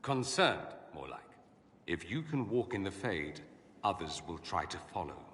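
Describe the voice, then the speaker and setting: An actor playing a witty, self-assured mage scholar in a video game, with an arch, sardonic, eloquent way of talking. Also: male voice, sits low, very animated, a little slow, fairly bright tone, a little hiss or hum, heavily acted. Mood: concerned, urgent. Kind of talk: video game cutscene acting. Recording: long hall reverb.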